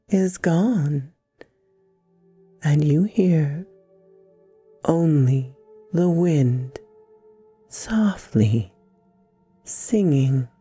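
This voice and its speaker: A woman hosting a guided meditation, speaking in soothing, deliberate phrases with long pauses and a breathy softness. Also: low voice, dry recording. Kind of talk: slow guided meditation narration.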